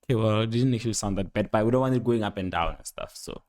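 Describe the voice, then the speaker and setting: A young man with a fairly low voice walks through a beat in a casual, off-the-cuff tutorial. He rambles playfully in slangy language and throws in excited little interjections. Music plays prominently behind him. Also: fast talker. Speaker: music producer giving a tutorial. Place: indoor home studio at a computer. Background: loud instrumental beat and instrument sounds playing.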